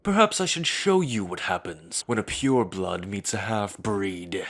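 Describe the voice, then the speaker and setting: A proud werewolf council elder, voiced by a man, contemptuous and cold toward the young man before him. His voice sits fairly low. He sounds ready to make a threat at any moment in this audio drama. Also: expressive, unhurried, a bit bright, heavily acted. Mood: threatening.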